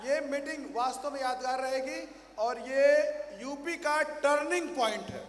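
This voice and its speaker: A man with a very high voice and a hoarse throat, speaking on a stage microphone to a big crowd. He keeps throwing questions out and repeating them louder. The sound carries a long, roomy echo from the large hall. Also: unhurried. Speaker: motivational speaker at a company training event. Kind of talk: rousing stage speech to a large audience. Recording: echoey hall, faint ambience.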